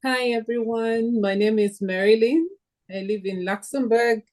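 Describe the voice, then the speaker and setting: A woman from Zambia introduces herself slowly and warmly to the group. Her voice is mid-pitched and easygoing. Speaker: guest in a group talk. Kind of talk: casual introduction.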